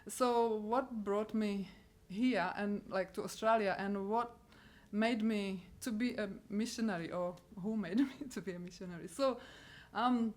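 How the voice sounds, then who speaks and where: A middle-aged woman with a Czech accent, a missionary, giving a personal testimony to an audience and often pausing to search for words. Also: medium pitch. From Czech Republic. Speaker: missionary speaker.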